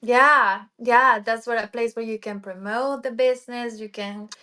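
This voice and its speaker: An upbeat woman, chatting as a guest on a livestream. Her voice sits somewhat high for a woman, and she laughs easily between her words. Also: fairly even intonation, unhurried, bright, forceful tone. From Ecuador. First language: Spanish. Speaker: livestream guest, sound healer. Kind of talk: casual livestream chat.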